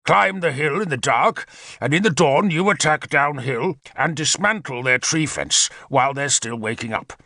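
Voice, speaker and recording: A man's voice: a little high, lively intonation, moderate pace, bright, forceful tone, dry recording, voice pushed up. A man voicing an eager, commanding warlord. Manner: eager, decisive, explaining battle plans. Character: confident commanding male war leader. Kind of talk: audiobook character reading.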